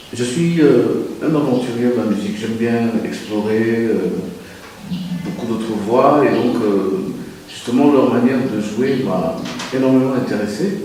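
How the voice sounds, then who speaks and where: A Congolese-born music producer answering interview questions in a hesitant way, with many fillers and restarts. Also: male voice, fairly low pitch, mellow, a little hiss or hum. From Republic of the Congo. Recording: echoey room, far from the mic.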